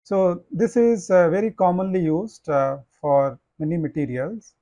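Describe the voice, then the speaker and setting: A man, a professor giving a slide lecture, explaining in a measured way with frequent "uh" and "you know". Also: high voice, dark tone, no room sound, highs cut off.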